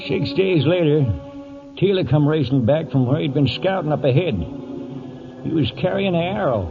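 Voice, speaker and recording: A man playing an old American trail cowhand in a 1940s radio western, plainspoken and folksy. His drawl sits a little high, and it comes through a tinny, narrow old broadcast with music behind. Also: normal speed, close to an everyday voice. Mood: reflective storytelling. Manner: drawling folksy cowboy grammar.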